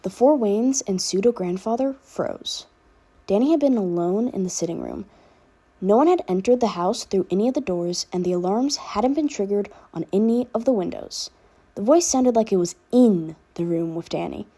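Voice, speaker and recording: A woman's voice: mid-range voice, expressive, normal speed, warm tone, breathy edge. A woman reading fan fiction aloud as an amateur narrator. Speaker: podfic reader and narrator.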